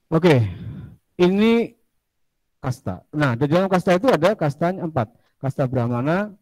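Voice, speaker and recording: A man's voice: medium pitch, dark tone, dry recording, low-quality recording. A man teaching a casual classroom lecture, chatty, asking students questions and drifting into asides.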